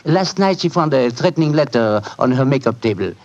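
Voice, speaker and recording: On a muffled 1940s radio recording, a man plays a French-accented police inspector. He is urbane and formal with a wry edge. His medium-pitched voice swings widely in tone. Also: expressive, fairly quick, darkish tone, lightly acted. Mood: urbane, amused. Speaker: actor playing a police inspector.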